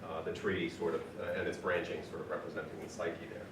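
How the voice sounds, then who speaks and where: Hoarse, hesitant male artist giving a gallery talk; low voice, distant echoey room recording. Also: flat delivery, quick pace. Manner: hesitant, many ums and uhs. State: hoarse from a cold. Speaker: artist presenting his paintings. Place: event room with audience. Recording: echoey room, from far off, noisy.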